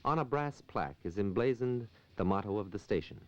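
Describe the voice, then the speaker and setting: A man's voice: on the low side, animated, a little fast, a little hiss or hum. A man reading scripted documentary narration, recorded on a muffled 1970s film soundtrack.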